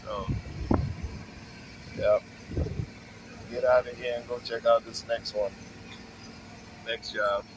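A man in his forties, a mobile welder, casually talking to the camera in a laid-back, unhurried way while working outdoors at a job site, recorded on a phone. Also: mid-range voice, little pitch movement, noisy background. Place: outdoors on a work site, beside a truck. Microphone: handheld phone camera and wireless earpiece.

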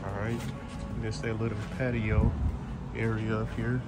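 A man's voice: fairly low pitch, little pitch movement, unhurried. A man in his thirties casually narrating a travel vlog in a laid-back, slangy way, often repeating himself. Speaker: travel vlogger. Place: outdoors on a city rooftop. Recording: loud background music, outdoor city rooftop.

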